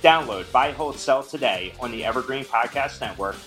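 A man swings his pitch widely as he pitches his podcast in an emphatic, salesy way. Faint music plays underneath his voice. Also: animated, normal speed, fairly bright tone. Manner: emphatic, salesy promo delivery. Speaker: podcast co-host in a promo. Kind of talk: scripted podcast promo.

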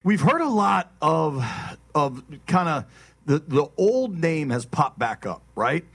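Higher-voiced, husky male radio interviewer. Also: very animated, takes it slowly, a bit bright. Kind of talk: conversational radio interview questioning.

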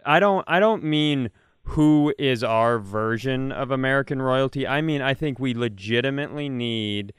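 A man's voice: medium pitch, moderate pace, smooth, no echo, studio quality. A man co-hosting a comedy podcast, chatting casually and rambling enthusiastically through comic ideas.

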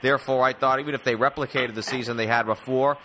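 Bright, forward male sports podcast host. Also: medium pitch, fairly even intonation, fast, slight background noise, rough recording. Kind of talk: conversational sports discussion.

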